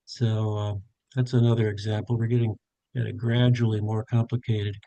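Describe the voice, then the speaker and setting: A man's voice: on the low side, flat delivery, moderate pace, creaky, mellow, dry recording, highs cut off. An older man leading a live online workshop for tutors, thinking aloud as he narrates each step on a video call, with frequent fillers and self-corrections. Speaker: tutor trainer. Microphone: webcam on a video call.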